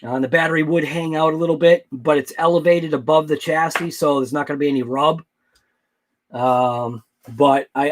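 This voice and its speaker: Male hobby-channel host, mid-high voice, loose rambling livestream delivery, chatting with viewers. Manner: rambling, thinks aloud, answers live chat. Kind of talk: casual late-night livestream chat.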